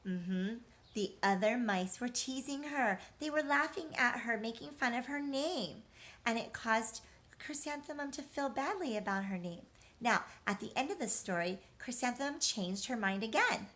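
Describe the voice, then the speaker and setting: A woman with a fairly high voice speaks as a teacher to young children. She talks directly to her little listeners, posing simple questions and supplying the answers. Her manner is encouraging and reassuring throughout. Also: lively intonation, moderate pace, fairly bright tone. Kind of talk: interactive children's read-aloud and lesson.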